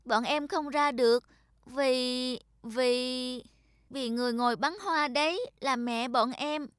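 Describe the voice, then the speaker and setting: A woman voicing a small, earnest child in an animated moral story, polite and pleading. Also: sits high, slow, dry recording, clean studio sound. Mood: shy, pleading. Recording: music behind the dialogue.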